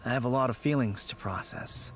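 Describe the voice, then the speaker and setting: A grieving man from a fantasy video game, played by a male actor. His voice sits at a middle pitch and is earnest, wistful and subdued. Music plays underneath. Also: fairly quick, lightly acted. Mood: resigned.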